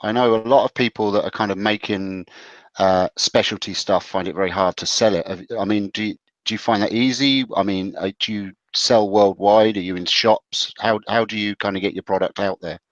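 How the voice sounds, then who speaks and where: A man co-hosting a podcast, asking relaxed, halting questions in casual chat. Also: lowish voice, no echo, muffled recording. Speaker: podcast co-host.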